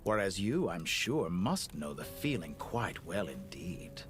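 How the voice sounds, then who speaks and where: An actor playing a cold, cultured villainous scientist in a video game, speaking in eloquent, measured, theatrical phrases. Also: male voice, sits low, very animated. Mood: coolly probing. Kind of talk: scripted video game voice acting. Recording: music under the voice.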